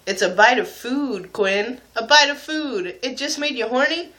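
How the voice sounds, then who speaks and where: Bright-voiced young man chatting candidly. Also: extremely high pitch, measured pace. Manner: candid, informal, self-mocking. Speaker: video blogger. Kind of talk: casual confessional chat to viewers.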